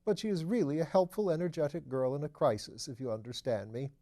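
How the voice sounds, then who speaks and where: A man's voice: on the high side, fast, mellow, voice pushed up, close to an everyday voice. A man voicing a small, nervous, apologetic man in an audiobook, deferential and fussy as he repeats himself. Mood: apologetic, earnest. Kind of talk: audiobook character voice.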